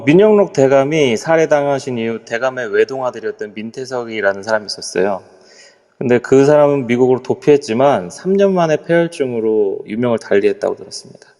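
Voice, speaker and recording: A man's voice: medium pitch, a little mellow, in a large hall, close to an everyday voice. A man playing a nervous hotel executive. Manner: polite, hesitant, trailing off when uneasy. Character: nervous middle-aged male hotel executive. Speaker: voice actor in a live amateur audio drama.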